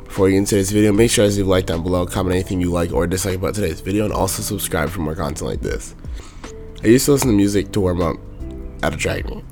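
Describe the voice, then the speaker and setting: A young man vlogging casually about his warm-up habits, talking in a monotone, raspy voice with a sore throat. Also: deep voice, very fast, soft background music. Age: young adult. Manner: talks in loose runs with fillers. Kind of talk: casual ranting vlog.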